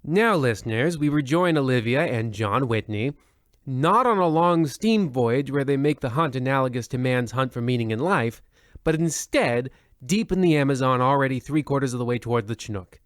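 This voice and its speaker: A man's voice: medium pitch, expressive, normal speed, no echo, lightly acted. A fussy radio editor, played by a man grumbling to himself in an old-time radio comedy. His exasperated voice sits dry and close, with no room sound.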